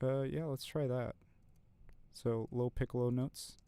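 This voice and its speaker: A man's voice: medium pitch, steady tone, unhurried. A man composing music on a live stream, thinking aloud in a casual, rambling way with many fillers. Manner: talks to chat, reads out viewer comments. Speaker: composer streaming his work.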